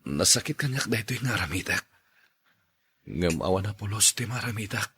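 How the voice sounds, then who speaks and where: A man with an Ilocano accent playing a dejected, dutiful son in an audio drama, in a husky voice. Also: lowish voice, expressive, normal speed, sharp tone, exaggerated voice. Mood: resigned, troubled. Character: dejected dutiful son forced into an unwanted marriage. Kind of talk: Ilocano radio drama acting.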